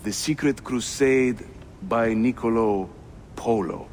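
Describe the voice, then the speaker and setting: An actor playing a genial, helpful Turkish assassin guide in a video game cutscene, with a Turkish accent. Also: male voice, medium pitch, slow, darkish tone, a little hiss or hum, close to an everyday voice. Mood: curious, helpful.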